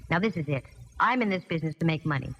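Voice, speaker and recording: A woman's voice: low-pitched, animated, a bit bright, full character voice. An American actress playing a brassy, wisecracking female private detective in a 1940s radio drama, sassy and wry. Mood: brisk, businesslike. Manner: sassy, wry, quick with quips. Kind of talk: 1940s radio acting, first-person narration and dialogue. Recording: muffled old radio recording, reverberant, faint music.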